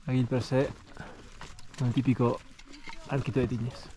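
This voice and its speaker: A man's voice: medium pitch, level tone, measured pace, very noisy. A young man with a European Spanish accent doing casual, slangy, enthusiastic commentary for an outdoor vlog. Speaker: vlogger. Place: outdoors on snowy mountain slopes.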